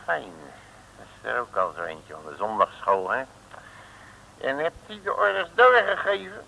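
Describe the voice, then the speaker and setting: An actor playing a wily, jocular detective in an old radio serial, with a folksy, teasing manner. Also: male voice, mid-range voice, lively intonation, moderate pace, gravelly, bright, forceful tone. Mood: probing, jocular. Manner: folksy, teasing, colourful mock-oaths. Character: wily, jocular male detective, self-styled uncle. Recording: noisy old radio drama recording.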